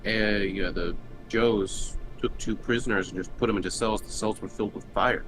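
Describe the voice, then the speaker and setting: A man's voice: lowish voice, fairly even intonation, normal speed, music in the background, low-quality recording. A man playing a roleplaying game, chatting casually in a hesitant, understated manner. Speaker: tabletop game player.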